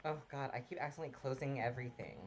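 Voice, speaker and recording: A man's voice: mid-range voice, fairly even intonation, rapid-fire, slight background noise. A man casually commenting on a game he is playing live, chatting with viewers and greeting them by name, often laughing at himself. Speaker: streamer.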